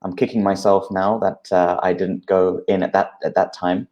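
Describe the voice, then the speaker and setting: A man in his thirties with a low, deep voice. As a guest he explains things steadily, with frequent uh fillers, and his pitch stays even and flat the whole time. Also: normal speed, muffled recording. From United Kingdom. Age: 30s. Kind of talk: explanatory interview talk.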